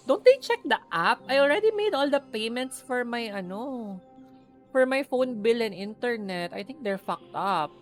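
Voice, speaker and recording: A woman's voice: on the high side, expressive, normal speed, faint music behind. A young Filipino woman streaming a game, chatting casually and sassily with her viewers and mixing in slang. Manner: chatty, sassy, peppers talk with "girly" and code-switching. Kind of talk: casual live-stream chat.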